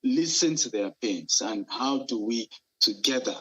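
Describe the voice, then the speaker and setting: Earnest Nigerian-accented man, bright raspy voice. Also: medium pitch, a little slow, lo-fi sound. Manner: earnest, animated, frequent restarts and fillers. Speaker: entrepreneur guest. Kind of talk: live TV interview, remote. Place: Dubai.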